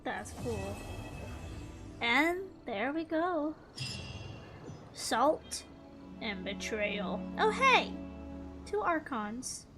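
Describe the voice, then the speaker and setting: Female gaming host, high-pitched and unhurried, casual playthrough commentary with clear music behind. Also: sing-song. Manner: chatty, informal. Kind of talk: casual game playthrough reactions.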